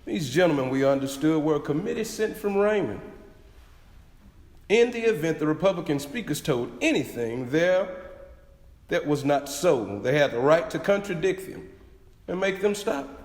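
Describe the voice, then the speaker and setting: In an echoey hall, a man plays a young former slave testifying. He tells it earnestly as a staged reading, in a fairly high, very lively voice. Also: normal speed, natural voice. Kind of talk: dramatic reading of historical testimony. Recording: echoey hall with long reverb.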